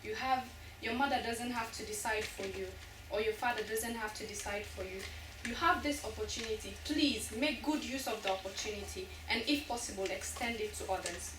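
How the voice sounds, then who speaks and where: A woman's voice: on the high side, a little fast. A woman on a panel making an earnest, pleading appeal to young people. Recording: echoey hall from far off, noisy.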